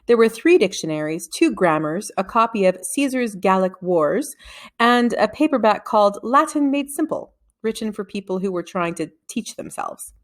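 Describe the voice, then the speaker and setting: Woman, mid-pitched voice, scripted storybook narration, expressive read-aloud delivery. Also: normal speed. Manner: expressive reading, acting out dialogue.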